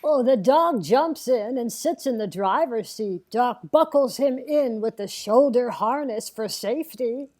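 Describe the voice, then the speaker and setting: A high-pitched elderly lady narrates a comedic table read. She takes her time, halting between phrases, sweet on the surface and slyly naughty underneath. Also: lively intonation, darkish tone. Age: older. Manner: halting, deliberate storytelling with sly asides. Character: impression of elderly comic actress narrating, slow, sweetly naughty.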